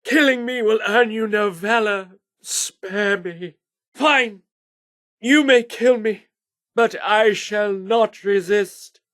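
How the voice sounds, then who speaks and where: A man's voice: high voice, slow, fairly bright tone. An actor voicing a pompous theatrical man in a video game, declaiming in archaic, mock-Shakespearean bombast. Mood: pleading. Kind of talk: video game character voice lines.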